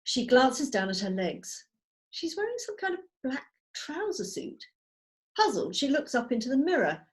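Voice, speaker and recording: A woman's voice: high-pitched, big pitch swings, moderate pace. A woman narrating scripted stage directions at a drama table read held over a video call.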